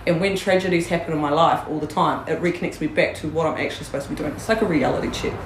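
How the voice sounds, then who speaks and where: A woman's voice: sits low, rapid-fire. A woman with a New Zealand accent, a guest on a podcast interview, talking bluntly with lots of swearing and laughter. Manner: blunt and profane, laughs often. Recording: echoey room with faint noise.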